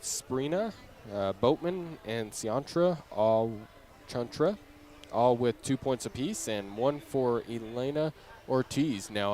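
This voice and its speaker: A man doing an unscripted live halftime recap as a sports announcer, conversational and halting as he reads out stats. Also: mid-range voice, darkish tone. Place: high school game venue, beside fans. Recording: live venue with background noise. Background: faint ambient venue noise.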